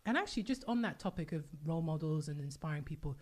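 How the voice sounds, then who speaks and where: Woman hosting a podcast, low voice, talks quick, chatty and upbeat. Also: animated, crowd noise. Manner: enthusiastic, chatty. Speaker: podcast host. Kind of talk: casual podcast conversation.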